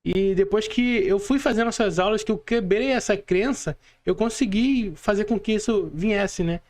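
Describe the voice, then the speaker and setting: A man's voice: high voice, normal speed, darkish tone. A Brazilian man chatting casually as a podcast co-host, repeating words for emphasis. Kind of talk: casual chat.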